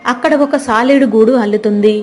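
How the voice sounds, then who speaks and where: High-voiced woman narrating a story. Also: steady tone, brisk, darkish tone. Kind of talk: scripted moral story narration.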